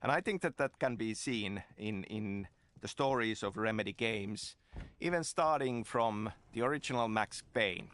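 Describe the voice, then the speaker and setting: A man, a game developer, talking in a documentary interview. He speaks in a low voice and restarts his sentences often, groping for words. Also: a bit bright. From Finland. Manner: halting, with repeated restarts.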